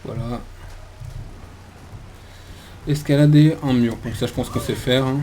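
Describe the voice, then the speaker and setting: A man's voice: mid-range voice, steady tone, measured pace, dark tone, rough recording. A man hosting a gaming video with casual let's play commentary, chatty and hesitant as he thinks aloud.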